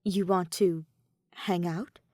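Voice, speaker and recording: A woman voicing a composed, mature teenage girl who speaks politely and formally in a measured way. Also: on the low side, fairly even intonation, darkish tone, dry recording, clean studio sound. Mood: calm, polite.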